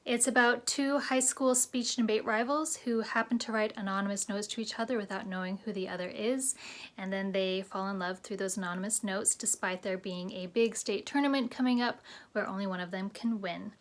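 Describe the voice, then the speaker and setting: A woman's voice: mid-range voice, fairly even intonation, a little fast. A woman author casually introducing her book and asking a trivia question. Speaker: young adult author.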